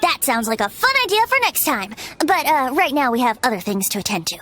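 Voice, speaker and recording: Theatrical young bard, high animated voice, playful, flowery, video game style. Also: female voice, a little fast, slight background noise. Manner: playful, flowery, musing turns of phrase.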